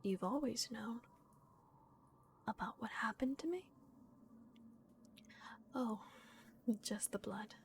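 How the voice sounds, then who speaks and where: A woman voicing a gentle, remorseful vampire guardian in a breathy, hushed whisper, as an ASMR roleplay. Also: on the high side, a little hiss or hum. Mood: anxious, hesitant. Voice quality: breathy, whispered with creaky edges. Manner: slow, hushed, hesitant pauses. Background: dark castle ambience.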